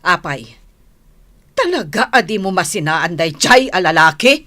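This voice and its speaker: A woman's voice: fairly low pitch, big pitch swings, a little slow, bright, forceful tone, dry recording, exaggerated voice. An actress playing a worried, disapproving mother who scolds and frets over her daughter in a radio drama. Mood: scolding. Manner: fretful, scolding. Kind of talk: Philippine radio drama acting.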